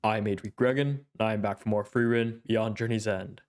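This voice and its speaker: Young guy with a stuffy nose talking anime, kinda low smooth voice, lots of uhs. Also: fairly even intonation, normal speed. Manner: thoughtful, rambling, with frequent fillers. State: congested, clearing his throat. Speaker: anime reaction channel host. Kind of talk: casual solo commentary.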